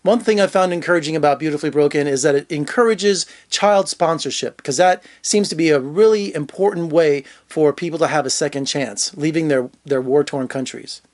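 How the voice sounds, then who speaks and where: A man's voice: a little high, a little fast, few pauses. A man hosting interviews as a film critic, with an upbeat, promotional manner. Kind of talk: interview host.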